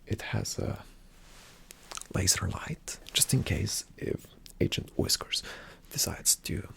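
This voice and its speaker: A man performing a whispered ASMR roleplay as a calm spy agent, giving hushed, deliberate instructions in a soft, breathy, slightly creaky voice. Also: sits low. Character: calm, attentive male spy agent briefing a partner.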